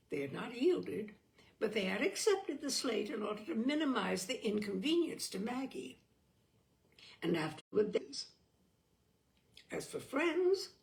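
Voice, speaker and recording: A woman reading a literary short story aloud in an expressive, measured narrating style. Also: on the high side, gravelly. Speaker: story reader.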